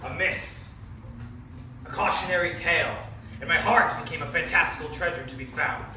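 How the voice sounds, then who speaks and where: A man's voice: on the high side, moderate pace, close to an everyday voice. A man playing a brooding, menacing legendary sea villain in a theatrical stage monologue. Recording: very echoey room, distant mic, loud hiss.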